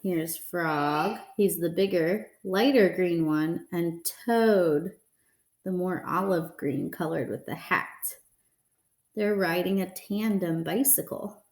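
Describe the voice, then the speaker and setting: A woman reading a children's picture book aloud deliberately, pausing to explain what the pictures show. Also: on the low side, darkish tone, echoey room. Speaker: storybook reader.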